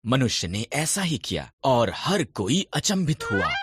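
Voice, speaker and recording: A man narrating scripted Bible stories in a measured, expressive storytelling manner. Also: mid-range voice, noisy background.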